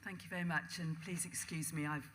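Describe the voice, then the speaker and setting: A woman with a croaky, sore voice presenting budget slides at a council meeting in a steady, polite, explanatory way. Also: low-pitched, a little fast, background noise.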